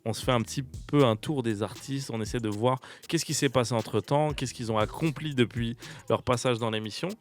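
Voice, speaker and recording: French guy hosting a radio show, jokey and quick, laughs all the time. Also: mid-range voice. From France. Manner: laughs often, jokey and fast-talking. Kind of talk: casual radio chat.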